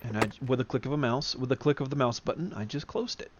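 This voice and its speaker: A man giving a casual spoken tutorial as an enthusiast, in a halting way with frequent throat clearing. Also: mid-range voice, heavy background noise. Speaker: demonstrator, flight simulator enthusiast. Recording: dull lo-fi close dry recording.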